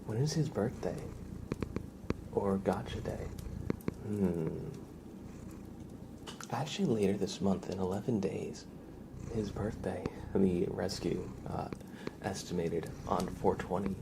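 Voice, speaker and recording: A man with a low, gravelly voice chats softly on an ASMR livestream, often slipping into a whisper. His delivery is playful and mock-serious, and there is clear noise behind him. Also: slow, pauses often. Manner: playful, mock-serious, doting talk about and to a pet. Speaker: ASMR live streamer. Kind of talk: soft-spoken ASMR livestream chat, often dropping into whispers.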